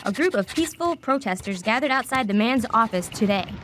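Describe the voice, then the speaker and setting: Woman playing higher-voiced dramatic parody news anchor. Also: expressive, a little fast, noisy background, lightly acted. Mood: ominous. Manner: emphatic, declamatory, mock-serious. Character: dramatic female news anchor announcing scandal and doom. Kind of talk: parody news reading in audio play.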